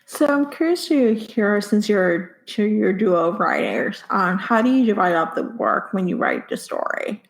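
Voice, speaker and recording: A woman's voice: medium pitch, big pitch swings, unhurried, gravelly, hardly any pauses, poor audio. A woman hosting a book podcast, with English as her second language, encouraging her guests with frequent mm-hmms. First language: not English. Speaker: podcast host. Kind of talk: casual interview chat.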